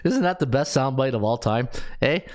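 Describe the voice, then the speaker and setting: A man's voice: medium pitch, lively intonation, moderate pace, darkish tone, slight background noise. A man in his fifties hosting a sports betting podcast, breezy and jokey as he rattles off stats with plenty of ums and uhs. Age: 50s. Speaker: podcast host and sports handicapper.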